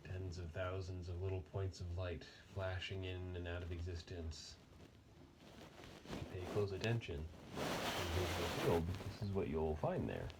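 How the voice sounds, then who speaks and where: A man leading an unscripted guided body meditation in a gentle, unhurried manner with long pauses. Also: low voice, fairly even intonation, heavy background noise.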